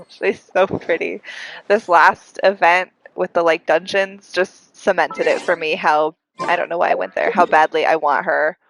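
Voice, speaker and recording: Low-pitched chatty woman streamer, congested. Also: fairly even intonation, a little slow, a bit bright, slight background noise, rough recording. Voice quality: congested, breathy. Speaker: game streamer. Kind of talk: casual live gameplay commentary. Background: game music.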